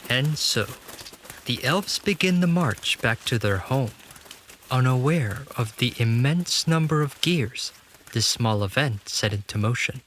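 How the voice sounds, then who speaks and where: A man's voice: medium pitch, animated, unhurried, a bit bright, a little hiss or hum. A man narrating a fantasy audio drama in a dramatic, scripted storytelling style.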